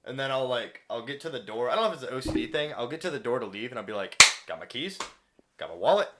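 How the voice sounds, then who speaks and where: A man with an average-pitched voice chats casually as a podcast co-host. He talks in an excitable, rambling manner and swears freely. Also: fast talker, very noisy. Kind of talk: casual chat.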